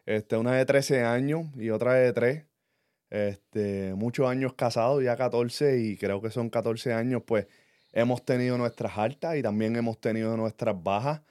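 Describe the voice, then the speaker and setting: Puerto Rican man, 30s, podcast co-host. Earnest, reflective storyteller, uses fillers. Mid pitch, dead-dry room. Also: moderate pace, darkish tone, dry recording. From Puerto Rico. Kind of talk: casual personal chat.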